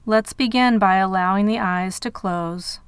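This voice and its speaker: A woman guiding a meditation in measured phrases with pauses between them. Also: medium pitch, smooth, dry recording. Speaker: meditation guide. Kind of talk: guided meditation narration.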